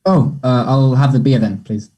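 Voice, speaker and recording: A man acts out a shy, awkward young man on a date in a stage play read-through. His voice is breathy-rough and relaxed, sitting at an average male pitch. His replies are brief and hesitant. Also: moderate pace, dull audio, close to an everyday voice. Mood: nervous, awkward. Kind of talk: play table read, naturalistic dialogue.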